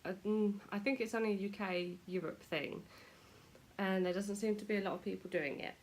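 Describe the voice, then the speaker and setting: A woman's voice: medium pitch, steady tone, fairly quick, slight background noise. A British woman chatting casually to camera at home, self-deprecating, her nose stuffy from a cold. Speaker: vlogger.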